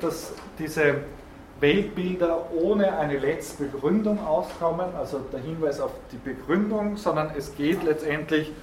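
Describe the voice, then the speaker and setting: A man giving a university philosophy lecture, thinking aloud with frequent hesitations and repeated words, recorded by a student in a lecture hall. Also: a little high. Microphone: student's recorder in the room. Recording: echoey lecture hall recording with faint noise.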